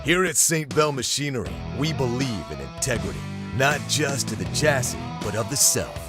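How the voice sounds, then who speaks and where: A man's voice: mid-range voice, expressive, moderate pace, close to an everyday voice. An actor playing an earnest, grandiose machinery salesman in a video game advert, speaking in solemn slogans. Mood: earnest pitch. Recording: loud guitar music underneath.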